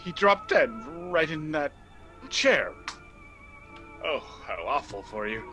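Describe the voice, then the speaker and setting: A man's voice: a little high, huge pitch swings, slow, bright, forceful tone, faint music behind, full character voice. Frantic, wildly swooping nephew, played by a man. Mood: dazed disbelief. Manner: exasperated, stammering, wildly exclaiming. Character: frantic, appalled nephew discovering his aunts' crimes. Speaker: actor. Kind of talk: theatrical radio comedy acting.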